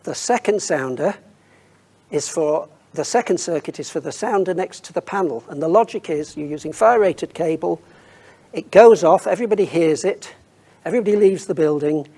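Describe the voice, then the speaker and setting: A man's voice: fairly high pitch, moderate pace, dark tone. A man speaking as an industry expert on a panel, explaining technical points informally and thinking aloud as he goes.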